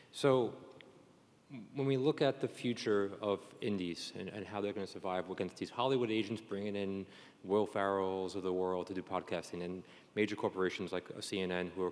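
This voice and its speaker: In a reverberant hall, a man with a deep voice moderates a discussion. He speaks off the cuff, circling through his questions and rephrasing them as he goes. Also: fairly even intonation, fairly quick. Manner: rambling questions, restarted and rephrased. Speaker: panel moderator. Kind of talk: unscripted panel questioning. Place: indoor conference panel hall.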